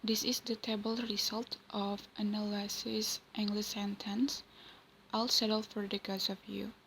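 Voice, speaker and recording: Flat-voiced young Indonesian woman presenting. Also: medium pitch, a little slow, slight background noise, rough recording. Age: young adult. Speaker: student presenter. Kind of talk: read class presentation.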